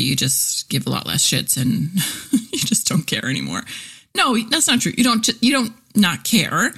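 A woman's voice: lowish voice, creaky, a bit bright, breathy edge. A woman in her forties hosting a casual solo podcast, chatty and candid, full of fillers and easy laughs. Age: 40s. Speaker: podcast host, copywriter and content teacher.

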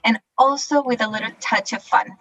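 A woman's voice: on the high side, steady tone, brisk, fairly bright tone, low-quality recording. A woman from Chile who is a life coach, telling her story as a guest in a confident, upbeat way. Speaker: life coach and Reiki master. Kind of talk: podcast interview guest.

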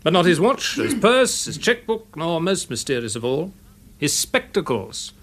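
A man's voice: fairly high pitch, very animated, a little slow, fairly bright tone, full character voice. A man playing an earnest, methodical English police detective in a radio drama, precise and matter-of-fact. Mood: earnest, explaining. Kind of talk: period radio drama acting.

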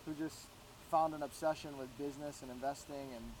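A man's voice: a little high, a little fast, a little hiss or hum, poor audio. A man from Florida, a real estate agent, telling stories as a guest in a casual podcast interview and acting out what people said to him. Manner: animated storyteller, acts out quoted voices.

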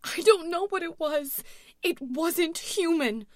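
A frantic young woman performed by a female narrator cries out in a high, trembling voice. She pleads in sheer terror, loud and shrill, as in a horror audiobook with a dry, close sound. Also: slow, no room sound. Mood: panicked. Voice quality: shaky, shrill when panicked. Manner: crying out, breathless pleading.

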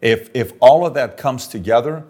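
A man's voice: mid-range voice, moderate pace, a little mellow. A middle-aged man from Iran, an entrepreneur being interviewed, working through figures aloud and stressing points with "okay?". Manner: works through numbers aloud, emphatic, repeats "okay?". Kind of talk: animated business interview talk.

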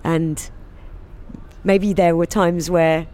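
A woman in casual interview chat, animated and laughing often, acting out quotes in high voices. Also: very slow. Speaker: guest, singer and comedian.

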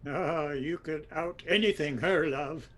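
A man's voice: on the high side, unhurried, fairly bright tone. A man playing a genial older Irish husband in a comedic audio drama, teasing affectionately in a wry, hesitant way.

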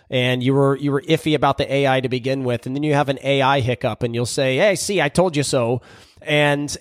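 A man hosting a podcast in a casual, energetic monologue, his voice still a little raspy and hoarse from recent voice loss. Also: mid-range voice, no echo, clean studio sound. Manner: energetic, conversational, frequent restarts. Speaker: podcast host.

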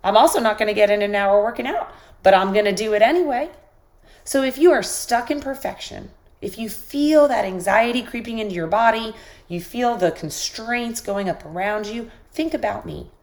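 Woman with a sick, squeaky voice. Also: expressive, normal speed. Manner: encouraging, coaching listeners directly. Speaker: podcast host. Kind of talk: motivational podcast monologue.

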